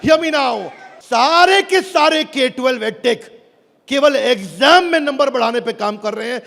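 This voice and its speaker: High-pitched man hyping up a crowd. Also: expressive, measured pace, creaky, fairly bright tone. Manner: emphatic, hyped, crowd-rousing with call-and-response. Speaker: motivational business speaker launching an app. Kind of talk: energetic stage keynote. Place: large auditorium with a live audience. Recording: reverberant hall, crowd and noise.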